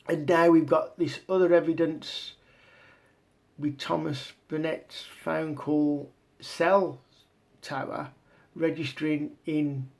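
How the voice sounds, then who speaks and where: A man giving informal explanatory commentary as a video presenter, talking in a halting, step-by-step way. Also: on the high side, vocal fry, a little mellow. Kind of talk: informal explanatory commentary over on-screen maps and documents.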